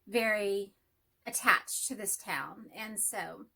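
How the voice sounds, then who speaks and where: A woman's voice: medium pitch, slow, gravelly, bright, forceful tone, slightly rough, low-quality recording. A middle-aged woman with a Texas twang and a nasal voice, chatting casually to camera about her life. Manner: rambling, friendly, self-deprecating. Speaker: hobby vlogger and math teacher.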